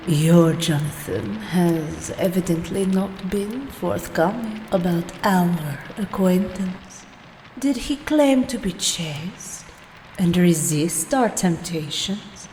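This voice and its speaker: An actress playing a seductive, taunting vampire bride in a radio play, with a languid, mocking, formal delivery. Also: female voice, fairly low pitch, warm tone. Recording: long hall reverb, background noise.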